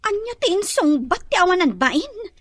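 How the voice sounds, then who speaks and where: A woman's voice: extremely high pitch, big pitch swings, normal speed, fairly bright tone, no room sound, exaggerated voice. A woman acting a troubled, scheming young wife, plaintive and brooding, in a radio drama. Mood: upset, brooding.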